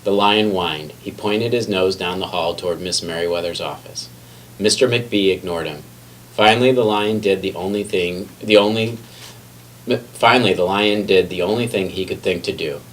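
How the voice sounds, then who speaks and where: Low-voiced man reading a storybook, distant mic. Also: moderate pace. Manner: expressive, with stumbles and self-corrections. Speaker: teacher reading a picture book aloud. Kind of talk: children's read-aloud storytelling. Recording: distant mic with faint background noise.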